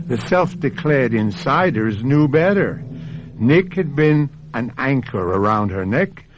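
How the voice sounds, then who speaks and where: A dull old radio broadcast with faint music carries a man's dramatic narration. He phrases deliberately and suspensefully, with a very lively rise and fall. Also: medium pitch. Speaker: host and narrator.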